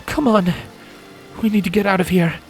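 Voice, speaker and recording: A man's voice: high voice, fairly even intonation, moderate pace, creaky, faint music behind, pitched above the performer's own voice. A man voicing a stern, stressed female unicorn in a storybook reading, with an urgent, curt manner. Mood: concerned, urgent. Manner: urgent, hushed, snapping.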